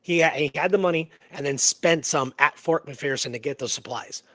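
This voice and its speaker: A man co-hosting a show, casually discussing a historical mystery in a halting, rambling way that repeats words. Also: fairly high pitch, sharp tone, no room sound. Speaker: co-host of a radio history show.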